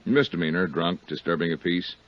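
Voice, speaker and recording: An actor playing a terse, matter-of-fact police sergeant in a 1950s radio drama, clipped and deadpan. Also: male voice, low-pitched, a little fast, close to an everyday voice. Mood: businesslike. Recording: dull 1950s radio recording.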